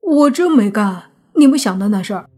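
A woman's voice: medium pitch, expressive, normal speed, warm tone, breathy edge, soft background music. A woman narrator voicing a flustered, indignant young male student who sputters defensively in an audiobook story. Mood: indignant, exasperated.

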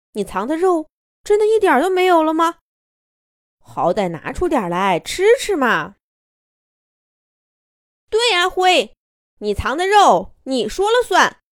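A pack of quarrelsome storybook wolves snaps and argues at full volume, as a woman puts them on. The voice sits fairly high and swings widely in pitch. The recording is close and dry. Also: big pitch swings, a little slow, pauses often, no room sound. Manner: loud, raised arguing voice.

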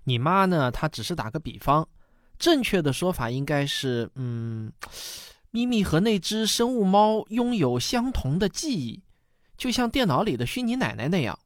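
A man's voice: a little high, sing-song, moderate pace, dry recording, clean studio sound. A man playing a caring father in a radio drama, talking in a patient, explanatory way. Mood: patient, explaining.

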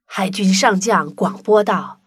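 A woman's voice: mid-range voice, unhurried, a little hiss or hum. A woman reading scripted narration for a children's story.

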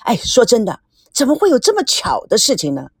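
A woman voicing a thoughtful director who speaks in a deliberate, measured way in an audiobook. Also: on the high side, huge pitch swings, slightly rough, no room sound, clean studio sound, exaggerated voice. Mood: pondering. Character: thoughtful director weighing a story.

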